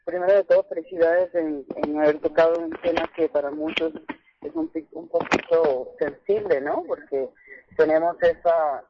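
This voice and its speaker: A woman, an English speaker, giving earnest conversational advice as a radio caller, halting and repeating words for emphasis. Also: low voice, animated, slight background noise. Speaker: phone-in caller, English teacher. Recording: muffled telephone line on radio.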